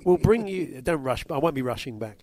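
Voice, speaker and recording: A man's voice: fairly high pitch, expressive, a little fast, a crowd in the background. A man co-hosting a podcast, chatting casually with a dry, joking manner. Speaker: podcast co-host. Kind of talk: casual chat. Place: indoors, travelling abroad.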